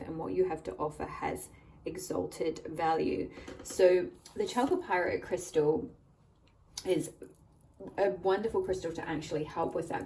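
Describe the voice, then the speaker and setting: A woman with a slightly croaky voice from a cold, gently guiding viewers through a pick-a-card tarot reading at a table. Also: low-pitched, far from the mic, a little hiss or hum, rough recording. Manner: gentle, warm address to viewers. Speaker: tarot reader and channel host. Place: indoors at a table, hands-only camera.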